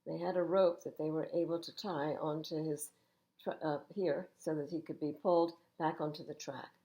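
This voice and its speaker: An older woman reading children's storybooks aloud as a storytime host, expressive and emphatic, with chatty asides to young listeners. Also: deep voice, moderate pace. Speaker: library storytime reader.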